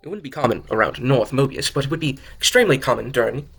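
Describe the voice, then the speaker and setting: A man narrates an amateur audio drama in an average-pitched voice. He reads story prose and often stumbles, repeating and correcting phrases as he goes. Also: lively intonation, fast, vocal fry, fairly bright tone, slightly rough. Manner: stumbles over lines and corrects himself. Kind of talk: amateur reading of fan fiction narration.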